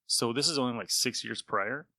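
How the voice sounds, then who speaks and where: A man's voice: mid-range voice, moderate pace, vocal fry, sharp tone, no echo. A man hosting a podcast in a casual, conversational style. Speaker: podcast host. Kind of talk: casual chat.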